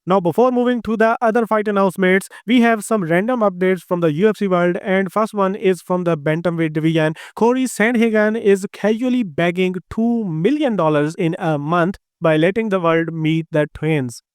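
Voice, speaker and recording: Man, Indian accent, news host, brisk list reading, smooth, dry close sound. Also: high-pitched, no room sound. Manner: brisk, reads lists of names and records. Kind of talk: scripted news roundup.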